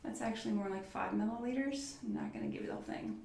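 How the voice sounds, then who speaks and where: A soft-spoken woman doing an ASMR medical roleplay as a doctor, murmuring reassuring step-by-step instructions to a patient. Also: a little high, quick pace, creaky, reverberant, recorded from a distance, a little hiss or hum. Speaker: ASMR performer playing an orthopedist. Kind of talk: ASMR medical roleplay, one-on-one personal attention. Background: clicks and handling sounds of props.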